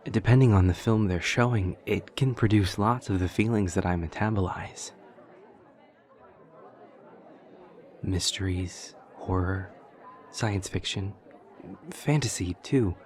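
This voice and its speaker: An awkward young demon student acted out by a man in an audio roleplay, with a low voice. He talks in stilted, formal phrases, taking everything literally with earnest curiosity. A faint crowd murmur sits behind him. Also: measured pace, a little mellow. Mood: earnest, thoughtful. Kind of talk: roleplay audio drama acting.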